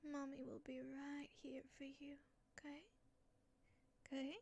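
A woman murmurs in a hushed, breathy whisper, soothing and caretaking like a comforting mother. Her delivery is very unhurried, and her voice has a mellow, slightly gravelly texture. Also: high-pitched, completely flat delivery. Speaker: ASMR creator. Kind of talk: ASMR roleplay.

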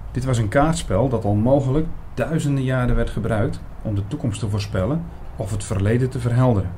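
A man's voice: medium pitch, warm tone, slight background noise, close to an everyday voice. A man playing an attentive investigator who narrates his own story in a scripted radio drama. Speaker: narrator and lead actor in an audio drama.

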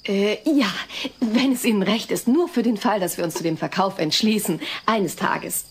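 Husky, hesitant homeowner. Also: female voice, mid-range voice, normal speed. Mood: tentative, polite. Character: hesitant, polite female homeowner considering selling. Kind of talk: German-dubbed TV sitcom audio drama.

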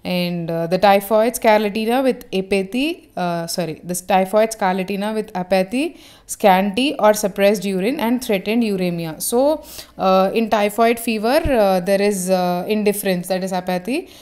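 Smooth-voiced woman explaining a medical text. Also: medium pitch, normal speed, a little mellow. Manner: reads a passage, then explains it with many fillers and self-corrections. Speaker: homeopathy teacher. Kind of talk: reading and explaining a reference text.

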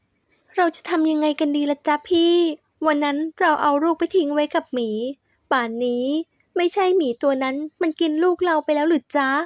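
Woman doing a high pleading young mother. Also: moderate pace, dry recording, studio quality, close to an everyday voice.

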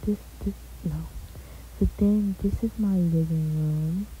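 A woman's voice: fairly low pitch, steady tone, takes it slowly, dark tone, poor audio. A young woman gives a laid-back, chatty tour of her house in a game, often trailing off with "so yeah.". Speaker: gaming vlogger. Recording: faint hiss.

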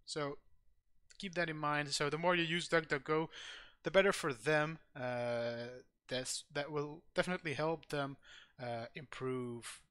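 A man with a Dutch accent narrates his screen as he goes, his English loose and rambling, stopping often to restart a thought. His voice sits a little high for a man. The sound is dry and close, with no room around it. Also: fairly even intonation, unhurried, fairly bright tone, smooth, no echo. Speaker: tech channel host. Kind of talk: screen-recorded tutorial.

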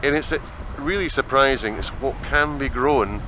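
A man's voice: mid-range voice, moderate pace, sharp tone, a little hiss or hum, low-quality recording. A Scottish man narrating a travel vlog outdoors, chatty and rambling, on a handheld camera mic. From Scotland. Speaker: vlogger. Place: outdoors in open desert.

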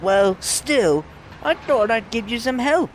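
Cast a woman playing a kind, earnest young digger; high, lively voice, measured pace. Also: slight background noise, rough recording. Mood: friendly. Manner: earnest, pleading, apologetic. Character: kind eager young male digger machine.

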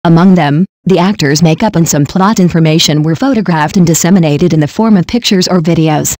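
A synthetic-sounding narrator reading a celebrity news script in an even, mechanical way. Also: mid-range voice, fairly quick.

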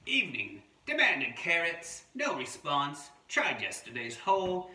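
Guy doing a storybook wombat w/ fake aussie accent, clipped deadpan lines, pitch jumps around, roomy far mic. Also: unhurried, exaggerated voice. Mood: demanding, stubborn. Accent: attempted Australian. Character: sleepy, demanding, matter-of-fact wombat keeping a diary. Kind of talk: children's picture book read-aloud. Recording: roomy, distant mic, faint background noise.

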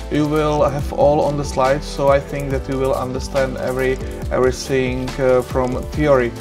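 A middle-aged man with a Czech accent, lecturing over slides in a small room, hesitant with many fillers and restarts. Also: medium pitch. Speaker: university lecturer.

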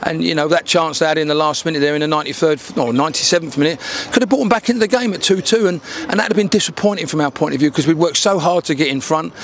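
Older man, football manager, post-match chat outdoors, rapid delivery, slightly raised pitch, faint traffic behind. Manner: fast, candid, repeats words when restarting. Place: outdoors by a dark wall at a football ground. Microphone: handheld interview mic.

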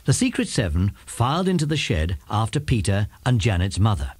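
A man's voice: lowish voice, very animated, brisk, breathy edge, no echo. A man with an English accent narrating a scripted children's audiobook.

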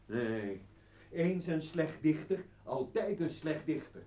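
Bitter, higher-voiced professor. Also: male voice, lively intonation, takes it slowly, recorded from a distance. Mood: sarcastic. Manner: scornful, sharp-tongued. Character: bitter, sarcastic liberal professor and husband. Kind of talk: Dutch radio drama acting. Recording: roomy, noisy, muffled old radio recording.

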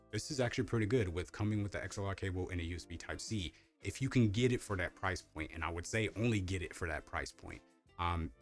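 Deep-voiced young man rambling a review. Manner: rambling, many fillers and restarts. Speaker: tech reviewer. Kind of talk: casual product review. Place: home streaming setup with colorful lights.

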